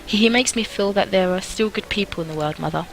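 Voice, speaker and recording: A woman voicing a timid, earnest young flower girl in a visual novel, soft and hesitant. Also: mid-range voice, quick pace, creaky, slight background noise, natural voice.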